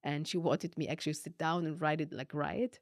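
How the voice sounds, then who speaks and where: A low-voiced woman with a mixed German and Arabic-tinged accent tells her own story. Her voice has a gravelly fry and sits close to a dry mic. She fills the telling with likes and ums and acts out old exchanges with sudden lift. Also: moderate pace, dry recording. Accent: mixed German and Arabic-tinged non-native English. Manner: animated, acts out past dialogue, frequent likes and ums. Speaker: podcast guest. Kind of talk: personal storytelling.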